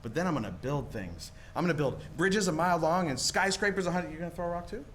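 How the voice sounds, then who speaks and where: A man's voice: fairly high pitch, expressive, quick pace, natural voice. A man playing an eager, dreamy young small-town man in love, stammering and rambling in 1940s radio play style. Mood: dreamy, boastful. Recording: live stage performance, audible background noise.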